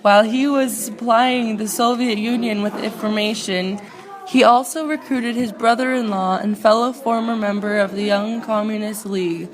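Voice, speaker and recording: A woman reading scripted narration aloud as a student presenter, halting and now and then stumbling over words. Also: on the high side, steady tone, rough recording.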